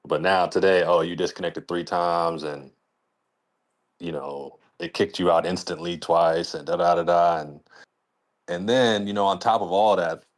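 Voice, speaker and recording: A man's voice: low voice, fairly even intonation, a little slow. A man talking casually as a league commissioner on a podcast, in a colloquial, rambling way peppered with "you know".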